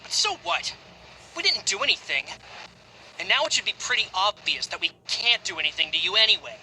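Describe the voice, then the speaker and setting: High, sharp-voiced resentful thug. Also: male voice, big pitch swings, brisk, a little hiss or hum, heavily acted, poor audio. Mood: sullen, defiant. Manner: grudging, defiant. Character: resentful, defeated male thug, grudging and defiant. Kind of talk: video game voice acting.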